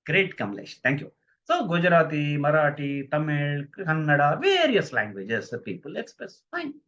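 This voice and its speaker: A man's voice: on the high side, big pitch swings, moderate pace, a bit bright. A man giving a live online lecture on communication skills, stressing key phrases, repeating himself and putting questions to his viewers. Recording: dull lo-fi recording.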